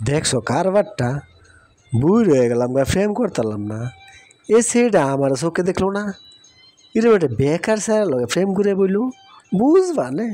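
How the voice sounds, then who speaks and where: Man acting a higher-pitched chatty village cartoon man. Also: sing-song, moderate pace, mellow, no room sound. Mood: grumbling. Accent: rural Bangladeshi regional Bengali. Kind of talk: cartoon dialogue in colloquial dialect. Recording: music behind the voice. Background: music.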